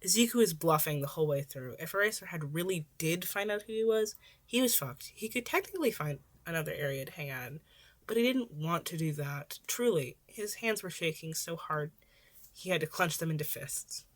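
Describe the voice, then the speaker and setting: Lowish-voiced woman narrating fiction. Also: lively intonation, brisk. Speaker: podfic narrator.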